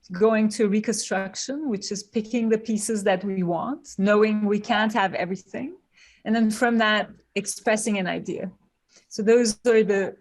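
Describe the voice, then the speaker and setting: A woman who grew up in Turkey, a guest designer on a podcast, explaining her process thoughtfully and step by step. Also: medium pitch. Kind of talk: podcast interview.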